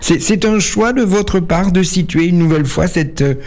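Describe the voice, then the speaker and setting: A man's voice: high-pitched, moderate pace, a little mellow. A man hosting a local radio show, reading a news bulletin and then interviewing a guest with some hesitant fillers. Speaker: radio host. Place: radio studio.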